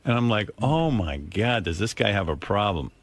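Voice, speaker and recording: A man's voice: on the low side, moderate pace, slightly rough. A man hosting a radio show, telling stories in casual banter and mimicking others as he goes. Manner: animated storyteller, mimics others mid-story. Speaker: radio host.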